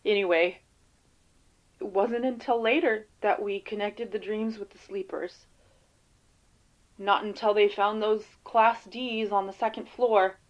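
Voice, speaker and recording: A woman playing a weary, defensive field agent in an audio drama interview, speaking haltingly and casually. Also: mid-range voice, fairly even intonation, muffled recording, lightly acted. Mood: resigned, haunted.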